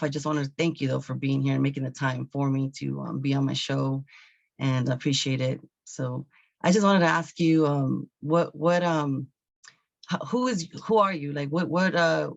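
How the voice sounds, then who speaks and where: Male talk show host, creaky voice, hesitant casual questioning, slightly dull lo-fi sound. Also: fairly high pitch, no room sound. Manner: hesitant, searching for words, agreeable interjections. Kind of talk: casual interview chat.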